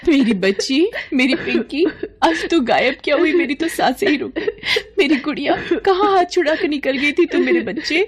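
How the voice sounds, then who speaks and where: A woman's voice: high voice, expressive, normal speed, pitched above the performer's own voice. A woman voicing a frantic, emotional mother of a small child in an audio story. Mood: tearful relief. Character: frantic, grateful young mother of a lost girl.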